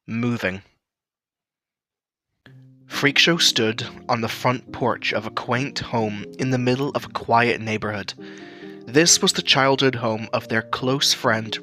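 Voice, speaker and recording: A man's voice: mid-range voice, pushed and bright. A man narrating a scripted story. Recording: music under the voice. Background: background music.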